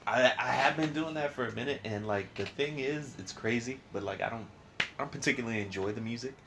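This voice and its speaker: A man's voice: medium pitch, quick pace. A man hosting a casual podcast, chatting informally with slang and frequent swearing. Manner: profane, slangy, informal talk. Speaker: podcast host. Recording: clear background noise.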